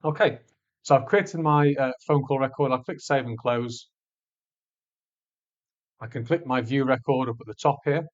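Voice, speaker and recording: Male tutorial presenter, medium pitch, conversational step-by-step instruction, somewhat lo-fi sound. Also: normal speed, pauses often. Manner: informal, explains step by step. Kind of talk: screencast walkthrough, conversational instruction. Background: voice-over on a screen recording.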